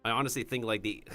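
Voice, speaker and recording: A man's voice: mid-range voice, bright, forceful tone. A man streaming a game, chatting and rambling with giggles, whose first language is Mandarin Chinese. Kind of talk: casual game-stream chat.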